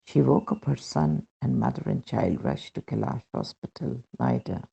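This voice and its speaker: Male narrator, Indian accent, for devotional reading. Very creaky, lively pitch movement, lo-fi sound. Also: moderate pace. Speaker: reader of devotional testimony. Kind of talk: scripted narration, read aloud. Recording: dull, muffled lo-fi recording.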